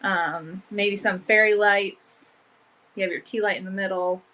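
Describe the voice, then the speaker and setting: A woman's voice: medium pitch, steady tone, measured pace, dull audio. Sniffly woman with allergies, rambling casual chat, lots of ums, normal mid voice, selling stuff from home. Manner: chatty, lots of fillers like "um" and "like". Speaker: online vintage seller. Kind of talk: casual live-sale chat.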